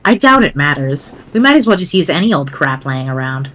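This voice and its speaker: A woman voicing a pedantic, precise young explainer in a dramatic reading, formal, wordy and measured. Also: low voice, very animated, fairly bright tone, voice pushed down, heavily acted. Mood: brisk.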